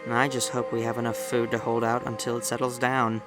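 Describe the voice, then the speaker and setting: A man's voice: mid-range voice, little pitch movement, a little fast, close to an everyday voice. A man voicing a gloomy, resigned villager in a fan dub. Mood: resigned, worried. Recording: music under the voice.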